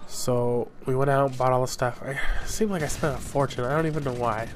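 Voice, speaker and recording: A man's voice: medium pitch, fairly even intonation, fairly quick, slight background noise. A young man from southern Idaho, congested from a cold, chatting casually over gameplay footage as a gaming YouTuber, rambling with lots of fillers.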